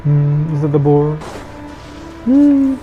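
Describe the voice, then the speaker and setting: A woman's voice: low voice, sing-song, slow, narrow, tinny audio. A woman giving casual, hesitant, muttered commentary as she plays a game. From Philippines. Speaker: gameplay commentator. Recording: game music behind the voice. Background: game music.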